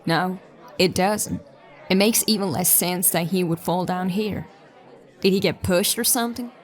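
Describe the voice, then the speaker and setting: A woman's voice: on the low side, a little slow, far from the mic, a faint crowd, close to an everyday voice. A woman playing a determined, sceptical police detective in a science fiction audio drama, musing and dry in her delivery. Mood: dry, probing. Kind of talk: audio drama dialogue and investigative monologue.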